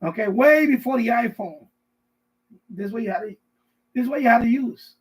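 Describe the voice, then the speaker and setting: A middle-aged man hosting a casual live stream, rambling and often repeating himself, with a husky voice. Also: very high voice, lively intonation, normal speed, rough recording. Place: basement.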